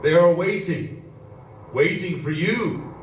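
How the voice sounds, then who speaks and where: A man as slow, ominous horror radio announcer. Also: high voice. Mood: eerie menace. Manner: slow, dramatic, menacing pauses. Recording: very echoey, distant mic, background noise.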